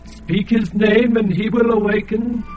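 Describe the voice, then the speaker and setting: An actor playing an ancient, blinded alien god who is enslaved and sorrowful, speaking in a grave, chanting way. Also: male voice, sits high, steady tone, dull audio, faint music behind. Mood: sorrowful, solemn. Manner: slow, grave, chanting delivery. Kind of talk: radio drama acting.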